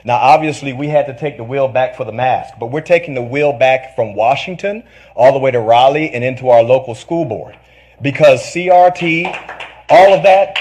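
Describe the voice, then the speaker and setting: A man's voice: a little high, a little mellow. An American man giving an impassioned public speech as a parent, emphatic and insistent in short declarative statements.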